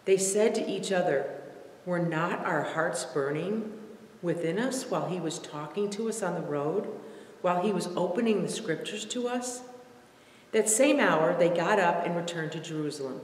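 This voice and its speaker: A woman's voice: fairly low pitch, normal speed. A middle-aged or older woman reading scripture aloud carefully and expressively from a page in a large reverberant sanctuary. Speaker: scripture reader. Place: large empty church sanctuary, indoors. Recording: echoey church, long reverb, faint noise.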